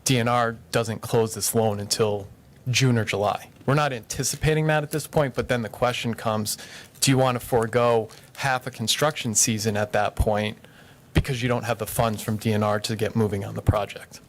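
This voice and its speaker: A man's voice: on the low side, fairly even intonation, normal speed. Firm, matter-of-fact man at a meeting. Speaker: contractor representative answering council questions. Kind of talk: public meeting testimony.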